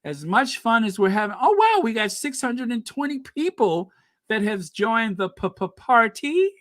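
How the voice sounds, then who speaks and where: A man hosting a casual livestream, chatting with viewers and reading their comments aloud, animated and quick to laugh. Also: very high voice, no echo, clean studio sound. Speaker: livestream host.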